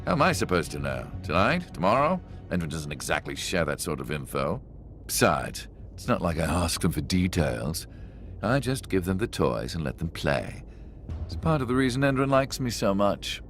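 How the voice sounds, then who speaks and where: A man's voice: low-pitched, big pitch swings, moderate pace, fairly bright tone, soft background music, exaggerated voice. An actor playing a slippery, sardonic male arms dealer in a video game cutscene. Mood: defensive, flippant. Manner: sardonic, swaggering.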